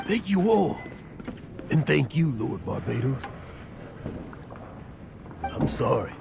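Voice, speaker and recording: A man voicing an earnest, emotional adventurer in a video game, speaking in a halting, heartfelt way. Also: medium pitch, creaky, background noise, exaggerated voice. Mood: choked up.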